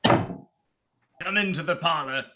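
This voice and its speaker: A man's voice: a little high, slow, pushed and bright. A man playing a seedy, brazen, self-justifying scavenger in a radio play, coarse and wheedling. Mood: brazen, sly. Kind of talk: theatrical radio play acting.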